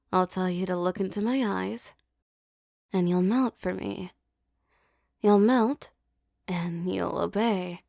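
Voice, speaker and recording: Slow, gravelly-voiced commanding woman. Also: on the low side, no room sound, studio quality. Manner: slow, soft, commanding and teasing. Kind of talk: scripted hypnosis roleplay audio.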